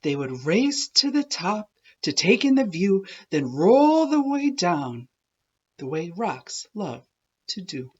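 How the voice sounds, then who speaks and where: A woman reads a rhyming storybook aloud with expressive, swooping intonation. Her delivery is deliberate and slow, with a slightly husky edge to the voice. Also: lowish voice, muffled recording. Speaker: children's book reader. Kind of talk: animated storybook read-aloud of a rhyming tale.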